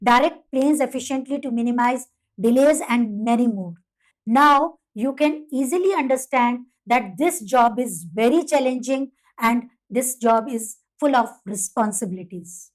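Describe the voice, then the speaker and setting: A woman's voice: fairly high pitch, very slow, poor audio. A woman with an Indian accent narrating a scripted career guidance explainer, setting out points one by one in an instructional way. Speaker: presenter of career guidance videos.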